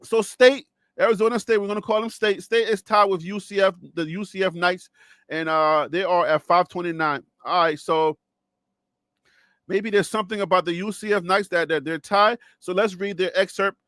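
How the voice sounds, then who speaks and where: High-voiced joking man with a dry sound. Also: fairly even intonation, moderate pace, a bit bright, no echo. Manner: rambling, joking, laughs often, talks to viewers. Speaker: sports show host. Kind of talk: casual solo sports talk with jokes.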